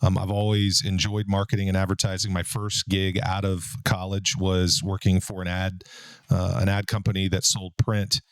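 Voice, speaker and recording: A man in his forties with a deep baritone voice, hosting a casual podcast and telling stories in a relaxed way. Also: moderate pace, dry recording. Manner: relaxed, storytelling, frequent fillers. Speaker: podcast host and business owner. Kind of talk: casual conversational podcast talk.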